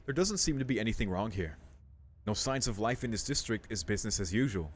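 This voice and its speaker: Low-voiced young man doing casual game commentary. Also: lively intonation, a little fast. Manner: informal, musing, thinking aloud. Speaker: video game streamer. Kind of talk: casual playthrough commentary mixed with reading game text aloud.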